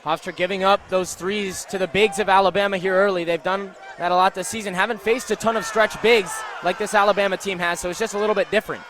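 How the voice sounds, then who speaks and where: A man's voice: high-pitched, steady tone, fast talker, few pauses, fairly bright tone, some chatter behind. A man giving live basketball analysis as a radio color commentator at courtside.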